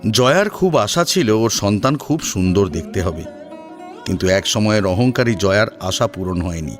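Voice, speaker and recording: A man's voice: fairly low pitch, expressive, normal speed, faint music behind. A man narrating a scripted story in a dramatic storytelling style.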